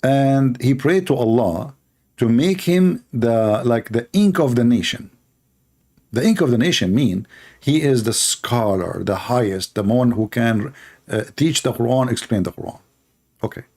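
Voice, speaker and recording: A man's voice: mid-range voice, lively intonation, a little slow, a little mellow. A man whose first language is Arabic, giving sarcastic, mocking commentary and laughing often. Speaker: online debater.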